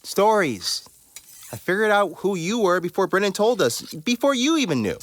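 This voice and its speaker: Anxious bookish teen boy played by an actor, audio drama; hesitant, insecure, very animated pitch. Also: moderate pace, natural voice. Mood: insistent, frustrated.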